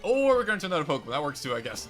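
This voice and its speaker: A young man chatters through a game playthrough at a rapid clip, his voice pitched a bit high and edged with a slight rasp. He rambles and corrects himself as he goes. Faint game music plays underneath. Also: big pitch swings, a bit bright. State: slightly raspy, crackly voice. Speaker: let's play host. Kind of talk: casual game commentary.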